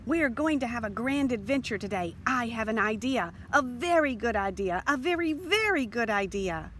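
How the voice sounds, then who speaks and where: Woman voicing a bossy young girl chicken, high-pitched. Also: lively intonation, moderate pace, a bit bright, a little hiss or hum. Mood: bossy, scheming. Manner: pushy, emphatic, scheming. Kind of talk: children's storybook character voice.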